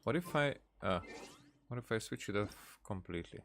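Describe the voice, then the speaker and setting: A man's voice: on the low side, lively intonation, normal speed, fairly bright tone, slight background noise. A man streaming a game with casual live commentary, musing and hesitant as he thinks aloud.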